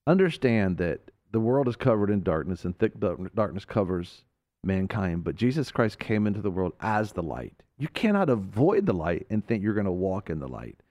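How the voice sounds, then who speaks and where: Fervent preaching man, lively pitch. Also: normal speed. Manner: fervent, emphatic, swings between loud and hushed. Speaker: radio show host and preacher.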